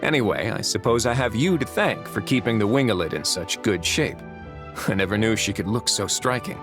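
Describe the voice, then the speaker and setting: An actor voicing a composed duke who runs a prison fortress, speaking in a calm, measured and courteous way. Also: male voice, lowish voice. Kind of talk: video game voice acting. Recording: soft game music behind.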